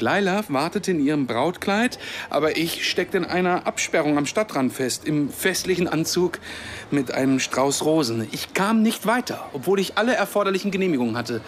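An actor playing an earnest, idealistic and sardonic Palestinian academic and activist in a radio drama. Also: male voice, on the high side, a little hiss or hum, lightly acted.

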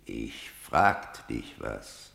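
An old, defiant seer of radio tragedy, declaims verse slowly and gravely. His delivery swells and drops widely as he probes and warns. The space around him sounds roomy. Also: a bass voice, big pitch swings, bright, forceful tone, full character voice. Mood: grave, probing. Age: older. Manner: declamatory, deliberate, swelling and dropping. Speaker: actor. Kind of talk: theatrical radio drama in verse. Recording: roomy, reverberant radio play recording.

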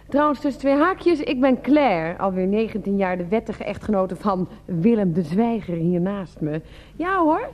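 A woman's voice: fairly high pitch, muffled recording, natural voice. An actress playing a weary, sardonic middle-aged wife and mother in a radio drama, with a dry, matter-of-fact manner. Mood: wry, resigned.

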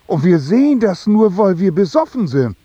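An actor playing a boozy, slow-witted unemployed locksmith in a German radio play, naive and halting in his colloquial talk. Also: high-pitched, very animated, warm tone, husky, no room sound, lo-fi sound, heavily acted. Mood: gloomy musing.

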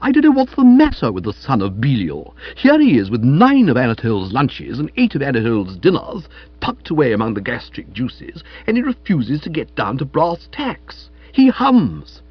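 Male reader as blustering older English aunt, audiobook comedy; emphatic, slangy, very animated delivery, slightly dull recording. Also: mid-range voice, moderate pace, husky, faint music behind. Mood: exasperated, explosive. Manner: emphatic, slangy, scolding upper-class talk. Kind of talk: audiobook character voice.

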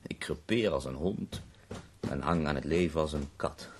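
A man's voice: rumbling low, normal speed, slight background noise. An actor playing a wry, self-mocking, bedridden man in pain in a Dutch radio drama.

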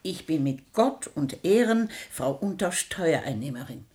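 Fussy, animated small-town matron. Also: female voice, sits low, a little slow. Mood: indignant gossip. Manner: effusive, exaggeratedly polite, fussy. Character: fussy, status-proud small-town matron, easily offended. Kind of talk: comic radio drama acting.

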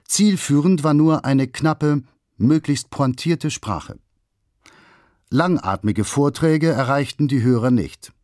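A man's voice: on the high side, animated, slow pace, darkish tone, no room sound, studio quality. A man delivering scripted documentary narration in a factual, formal manner. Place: dry studio.

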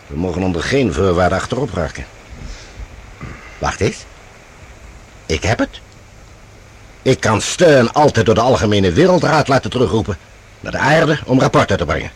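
A male actor in a radio play as a composed, scheming general, speaking formally and with measured authority. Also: on the low side, sing-song, husky, full character voice. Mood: resolute, scheming.

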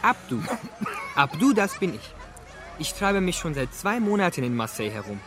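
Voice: mid-range voice, sing-song, moderate pace, close to an everyday voice. A young performer playing an earnest Algerian street boy of about thirteen, introducing himself in a radio drama. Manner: earnest, matter-of-fact self-introduction.

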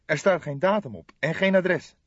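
A man reading an audiobook, voicing a distraught, hesitant younger man who pleads for help in a halting, emotional, trembling way. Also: a little high, dry recording, highs cut off, voice pushed up, natural voice. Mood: troubled. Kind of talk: audiobook character voice.

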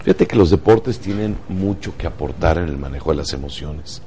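A man's voice: a bass voice, animated, a little fast, mellow, a little hiss or hum. A man with a Mexican accent giving motivational talk show commentary, emphatic and fond of rhetorical questions.

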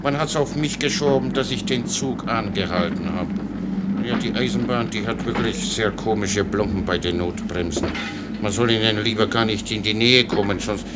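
An actor playing a cheerful, long-winded common soldier in a radio play, obsequious and blandly innocent. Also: male voice, on the low side, moderate pace, very noisy, natural voice. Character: rambling, cheerful Czech common soldier, slyly naive.

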